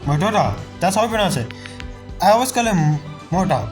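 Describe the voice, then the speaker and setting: A woman's voice: fairly low pitch, big pitch swings, brisk, a little mellow, faint music behind. A woman streaming a game with casual, teasing, interjected commentary on the story scenes.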